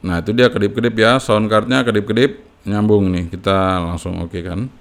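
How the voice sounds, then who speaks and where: Low-voiced man, casual tutorial. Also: steady tone, moderate pace. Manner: informal, often tags phrases with a checking "ya". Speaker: amateur radio hobbyist giving a tutorial. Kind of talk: casual unscripted step-by-step tutorial.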